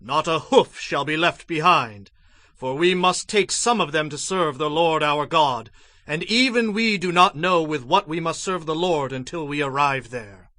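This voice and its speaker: An actor playing a stern, unyielding Hebrew prophet in a dramatized audio Bible, speaking in a solemn, firm, declamatory way. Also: male voice, fairly high pitch, measured pace, sharp tone, no echo. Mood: firm, defiant.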